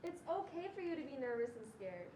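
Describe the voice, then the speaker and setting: A woman's voice: a little high, animated, normal speed, slight background noise, natural voice. A young woman playing a mother who fondly recounts her son's childhood in a staged reading. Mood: fond, reassuring. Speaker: student performer. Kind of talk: reader's theatre narration.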